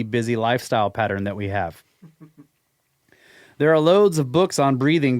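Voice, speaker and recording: A man in a podcast studio reads a listener's email and chats loosely about it. His voice sits in an ordinary middle pitch, and the room sounds dry and close. He comes across as easygoing and a little skeptical, with frequent chuckles. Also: dry recording. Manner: laid-back, skeptical, chuckles often. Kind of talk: casual podcast chat and email reading.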